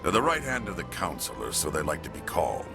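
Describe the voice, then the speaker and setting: An extremely low, creaky voice for a proud alien police chief in a video game. He speaks curtly and with stern authority, openly disapproving. Music plays clearly behind him. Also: huge pitch swings, fast talker, exaggerated voice. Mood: stern, indignant. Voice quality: gravelly. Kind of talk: video game dialogue acting.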